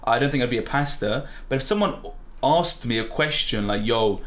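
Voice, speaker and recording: Nineteen-year-old man, podcast guest, mid pitch, eager and animated, swears freely. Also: normal speed. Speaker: podcast guest, golfer and student. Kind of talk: casual chat.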